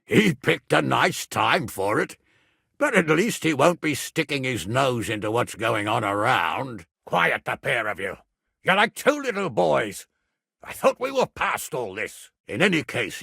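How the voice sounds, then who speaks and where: A gruff middle-aged nobleman and guardian in a medieval video game. His voice is forceful and gravelly, and he takes his time over his words. He is blunt, earthy and used to giving orders. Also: mid-range voice, no echo, studio quality, full character voice. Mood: blunt, exasperated. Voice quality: gravelly, raspy. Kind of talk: dramatic video game dialogue.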